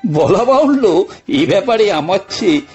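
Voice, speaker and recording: A man narrating an audio story in the first person, with theatrical, deliberate phrasing and frequent pauses. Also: high-pitched, lively intonation, a little mellow, husky, muffled recording. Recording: music bed behind narration.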